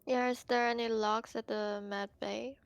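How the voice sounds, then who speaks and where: A woman's voice: a little high, flat delivery, normal speed, clean tone, no room sound. A woman speaking casually as a player in an online roleplaying game. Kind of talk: casual roleplay over voice chat.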